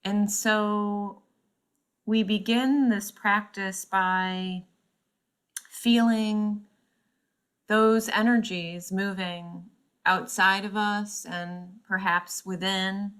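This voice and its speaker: Very slow-paced woman guiding meditation. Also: mid-range voice, fairly even intonation, a bit bright, smooth. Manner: measured, pausing often mid-phrase. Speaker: yoga nidra teacher.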